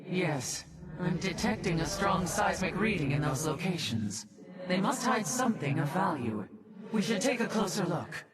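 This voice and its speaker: A man's voice: sits high, animated, moderate pace, a loud crowd. A man voicing a proud, honor-bound alien warrior commander in a video game, with formal, martial phrasing. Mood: businesslike, wary. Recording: distant, very noisy game battle audio.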